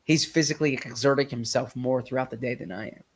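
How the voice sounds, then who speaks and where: A man co-hosting a sports podcast in casual chat, slightly nasal from a cold, talking haltingly with many restarts. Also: medium pitch.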